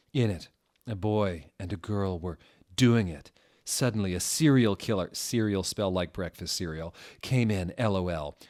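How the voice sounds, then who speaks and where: A man narrating a story as a comic dramatic reading, with a theatrical, deliberate, mock-serious delivery. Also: deep voice.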